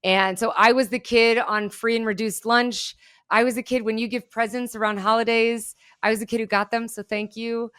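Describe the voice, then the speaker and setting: Smooth-voiced energetic woman from Germany. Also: on the high side, normal speed. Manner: energetic, candid storytelling. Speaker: guest, keynote speaker and entrepreneur. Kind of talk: podcast interview.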